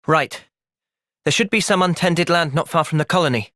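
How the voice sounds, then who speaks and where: A man with an English accent voicing an earnest, thoughtful young soldier hero in a fantasy video game, speaking in a measured, reflective way. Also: on the high side, a bit bright, no echo, studio quality, natural voice. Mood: calm, thoughtful. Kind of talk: video game cutscene acting.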